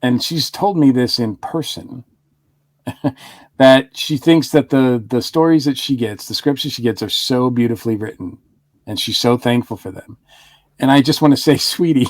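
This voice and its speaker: A man in an easygoing podcast chat, circling his point through restarts and small laughs. His voice sits at a middle pitch and sounds close and dry, with no room around it. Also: normal speed, no echo. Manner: rambling, with frequent restarts and chuckles. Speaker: podcast co-host. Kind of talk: casual review chat.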